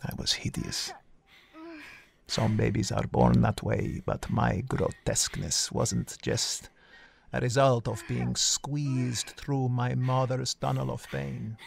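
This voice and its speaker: An actor plays a brooding, self-loathing man telling his life story in dramatic voice-over. His delivery is very slow and solemn, with a creaky edge and weighty pauses between poetic phrases. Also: mid-range voice, little pitch movement, a little hiss or hum. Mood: brooding, bitter. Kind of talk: dramatic first-person voice-over.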